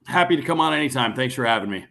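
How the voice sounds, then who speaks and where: A man's voice: medium pitch, animated, racing, creaky, bright, forceful tone. A man who works as a radio play-by-play broadcaster, talking as a podcast guest, fluent and announcer-like. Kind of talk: casual podcast interview.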